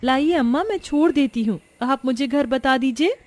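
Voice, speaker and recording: A woman's voice: sits high, moderate pace, pitched above the performer's own voice, close to an everyday voice. A woman voicing a meek, plaintive young daughter-in-law from a poor household. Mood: helpful.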